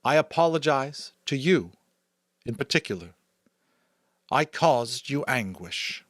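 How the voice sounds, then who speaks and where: Solemn female leader played by a man, raised pitch, sweeping intonation, firm formal oratory for a dystopian audiobook. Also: lively intonation, a bit bright, no echo, studio quality. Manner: firm, declamatory, deliberate. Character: solemn commanding female chief elder addressing her community. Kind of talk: dramatic audiobook character voice.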